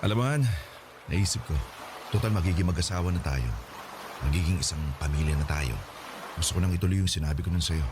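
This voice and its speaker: A man playing a devoted and playful young groom-to-be in a radio drama, warm, teasing and sweet-talking. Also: low voice, fast talker, long pauses, background noise, close to an everyday voice. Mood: loving. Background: background music.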